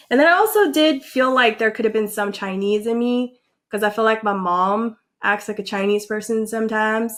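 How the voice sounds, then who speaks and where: Slow-talking, excitable young woman vlogger. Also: medium pitch. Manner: animated, excitable, filler-heavy with frequent likes. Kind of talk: casual reaction vlog.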